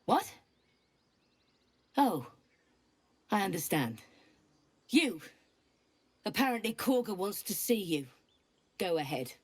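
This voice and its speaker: An actress playing a hostile, suspicious druid guard in a video game, curt and commanding. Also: female voice, mid-range voice, big pitch swings, takes it slowly, pauses often, breathy edge, no echo, full character voice. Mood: grudging.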